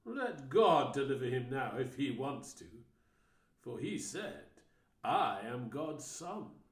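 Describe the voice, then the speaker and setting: A man's voice: mid-range voice, very animated, slow, echoey room. A man voicing scornful jeering men. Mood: mocking. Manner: mocking, taunting. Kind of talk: dramatised scripture reading.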